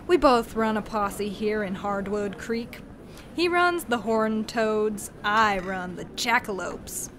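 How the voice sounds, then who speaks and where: A woman's voice: mid-range voice, takes it slowly, background noise, lightly acted. A woman playing a genial, long-winded, folksy male cowboy posse leader in a western audio drama. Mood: friendly, folksy. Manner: rambling, folksy, theatrical storytelling.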